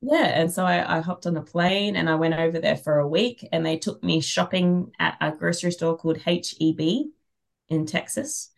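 Low-pitched Australian woman, casual storyteller. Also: normal speed, vocal fry. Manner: animated anecdote teller who acts out dialogue, wry exaggeration. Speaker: podcast guest, fantasy author. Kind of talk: casual chat, humorous storytelling.

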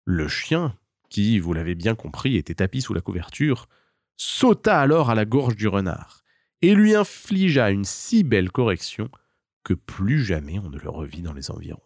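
A man's voice: fairly low pitch, big pitch swings, moderate pace, dry recording, clean studio sound. A man narrating a scripted children's tale as a storyteller.

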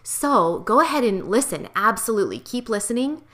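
High-voiced woman podcast host. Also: big pitch swings, normal speed, fairly bright tone. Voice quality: high-pitched voice. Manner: friendly, encouraging, says mm-hmm often. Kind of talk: podcast intro and interview.

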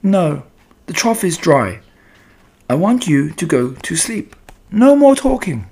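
Man putting on a high, calm motherly spider. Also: breathy edge, heavily acted. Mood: firm, soothing. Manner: comforting, measured, gently firm. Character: calm, motherly, cool and collected female spider. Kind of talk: children's storybook character voice.

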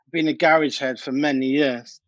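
A man's voice: medium pitch, steady tone, brisk, dry recording. Male interview guest, informal slangy chat, close and dry, slightly muffled lo-fi sound. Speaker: DJ and music producer, interview guest.

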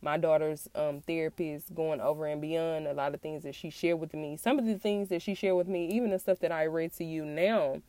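A woman with a raspy, congested-sounding voice, getting over an illness, talks earnestly and haltingly in a personal monologue to her audience. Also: low-pitched, steady tone, dark tone, no room sound. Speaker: podcast host and author.

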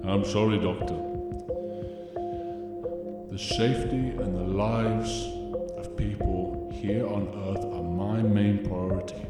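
Man playing a slow, stern brigadier, huge echo. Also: on the low side, steady tone. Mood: cold resolve. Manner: slow, deliberate, authoritative. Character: stern, unyielding military brigadier, menacing and commanding. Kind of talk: audio drama acting. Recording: very echoey hall, loud music.

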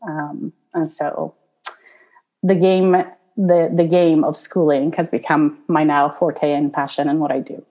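A woman's voice: low voice, little pitch movement, a little slow, mellow, muffled recording. A woman with an Iranian accent, a podcast guest talking casually and laughing often between fillers. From Iran. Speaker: guest, tutoring company founder. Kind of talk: casual interview talk.

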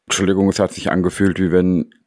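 A man co-hosting a casual podcast chat, calm and matter-of-fact. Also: deep voice, quick pace, no room sound, studio quality. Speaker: podcast co-host.

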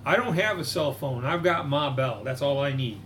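A man's voice: medium pitch, expressive, normal speed, a bit bright, a little hiss or hum, close to an everyday voice. A man playing a paranoid, old-fashioned ghost hunter with a folksy, conspiratorial way of talking. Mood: earnest. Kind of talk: improv character interview.

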